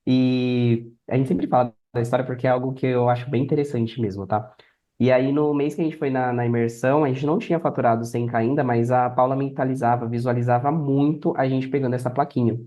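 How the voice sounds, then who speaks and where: A young Brazilian man with a mellow, relaxed tone and a medium-pitched voice. He talks as a podcast guest, explaining his work through personal anecdotes in everyday conversational speech. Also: a little fast. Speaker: podcast guest, affiliate marketer. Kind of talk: conversational interview answers.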